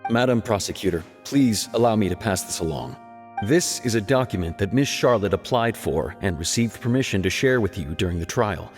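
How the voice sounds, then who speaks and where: A courteous male court official in a game. His voice is low and formal, ringing in a long hall reverb over faint music. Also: lively intonation, a little fast. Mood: courteous, measured. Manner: formal, polite. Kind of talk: formal video game voice acting.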